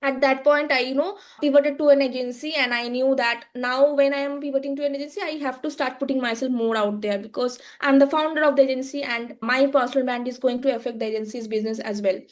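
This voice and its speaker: A woman, a guest on a podcast interview, telling her story reflectively with frequent fillers and halting restarts. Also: sits high, fairly even intonation. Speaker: guest, social media agency founder.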